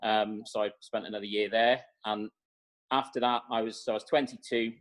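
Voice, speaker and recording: A man in his thirties talks over a video call with a flat, even tone. He answers at a steady pace, with many fillers and small restarts. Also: on the low side, moderate pace. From North Wales. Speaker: executive chef, guest. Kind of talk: interview answers on a webinar.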